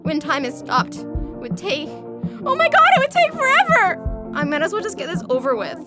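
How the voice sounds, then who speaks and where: A woman's voice: very high-pitched, wildly swooping pitch, moderate pace, over loud music, full character voice. A woman playing a vain, flippant young female shapeshifting alien in audio theatre, sassy and petulant in manner. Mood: puzzled, exasperated.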